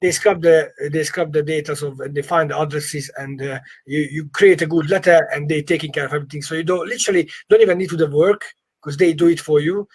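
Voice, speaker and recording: A man with a husky, fairly high voice speaks non-native English as a property coach in a live session. He is emphatic and encouraging, and often repeats his phrases for stress. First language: not English. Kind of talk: informal live training session.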